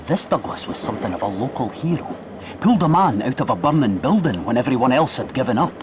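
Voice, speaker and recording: A deferential, gossipy local man, played by a male actor in an audio drama. His medium voice moves around in lively fashion over clear outdoor noise. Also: mid-range voice, fast, natural voice. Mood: gossipy, careful. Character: deferential local male informant on a murder case. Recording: clearly noisy outdoor background.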